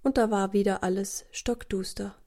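Female storyteller for children's radio play. Warm, mellow, medium pitch, scripted narration. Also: fairly even intonation, normal speed.

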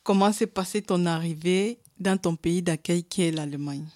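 A woman interviewer with a lowish voice asks set questions in a formal, measured way. The recording is dry and close, with no room sound. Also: a little mellow, no echo.

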